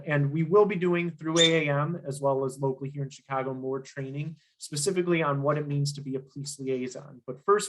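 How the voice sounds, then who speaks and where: A young man giving an instructional workshop presentation, earnest and emphatic, with frequent ums and restarts, his voice slightly hoarse. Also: medium pitch, low-quality recording.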